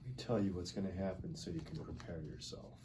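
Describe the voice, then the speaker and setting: A man's voice: deep voice, fairly even intonation, quick pace, close to an everyday voice. A man at a table read playing a cynical arms dealer who is casually, coolly defiant under interrogation. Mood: calm certainty. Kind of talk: acted screenplay table read. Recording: noticeable background noise.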